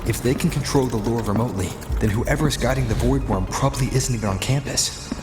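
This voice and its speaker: A man playing a determined, quick-thinking young student hero in a video game. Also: mid-range voice, noisy background, lightly acted. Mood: resolute. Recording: echoey, loud music behind.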